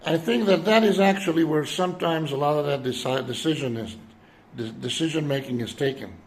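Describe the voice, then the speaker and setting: A man in his seventies from Europe speaking in an unscripted, reflective monologue, halting as he searches for words. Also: on the high side, low-quality recording. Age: 70s. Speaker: citizen vlogger addressing world leaders.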